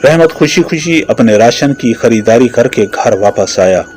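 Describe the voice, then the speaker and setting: A man's voice: medium pitch, lively intonation, a little slow, a little mellow, faint music behind. A man narrating a scripted moral story for a children's cartoon.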